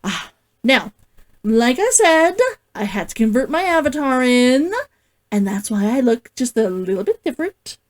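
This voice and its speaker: A woman hosts an online read-along with an expressive, animated delivery. Her voice sits on the high side and moves widely in pitch. She sounds like an author sharing her work with viewers. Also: unhurried. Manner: giggly, gushing, expressive. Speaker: host, author reading along. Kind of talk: casual livestream chat and novel read-aloud.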